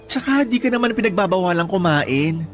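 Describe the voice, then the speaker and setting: An actress with a Filipino accent playing a caring, frank young cousin in an earnest Tagalog radio drama. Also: female voice, fairly low pitch, lively intonation, brisk, faint music behind, natural voice. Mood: pleading. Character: caring, frank female cousin, scolding but protective.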